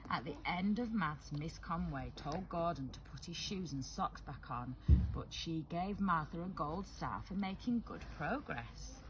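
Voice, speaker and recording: A woman reading a picture book aloud to young children in an expressive, animated way, acting out the dialogue. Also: low-pitched, a little slow, noisy background.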